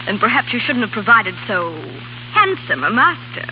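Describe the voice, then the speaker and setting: A woman's voice: on the high side, very animated, normal speed. A woman playing a bitter, insolent housekeeper in a 1930s radio drama, with a pointed, defiant way of talking. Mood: needling, defiant. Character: bitter, insolent housekeeper of ten years' service. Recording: dull, faintly noisy 1930s radio recording.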